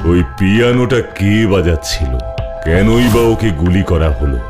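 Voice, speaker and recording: A low-pitched cartoon superhero sleuth. He is calm, commanding and inquisitive, speaking in a firm, measured manner. Loud music plays behind him. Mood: pondering. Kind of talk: animated cartoon voice acting.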